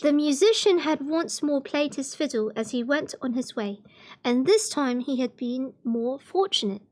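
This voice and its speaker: A woman reading fairy tales aloud on a livestream in an expressive storybook manner. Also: high-pitched, moderate pace.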